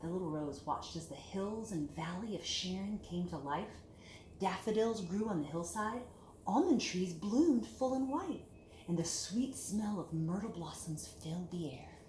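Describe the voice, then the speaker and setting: A woman reading a picture book aloud to children in an expressive, deliberate storytelling manner, with hushed dips and emphatic lifts. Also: lowish voice. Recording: echoey room with clear background hiss.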